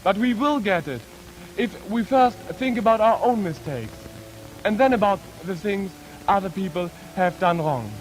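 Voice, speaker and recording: A young man from Germany with a German accent, an exchange student giving an earnest, deliberate formal speech. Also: sits high, expressive, faint music behind, poor audio. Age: young adult. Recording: 1950s archive with faint noise.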